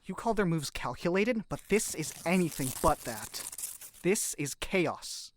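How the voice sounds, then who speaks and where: A man's voice: fairly high pitch, lively intonation, a little slow, slight background noise. A man acting in an audio drama, playing an earnest young man pleading for others. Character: idealistic young man, troubled by suffering.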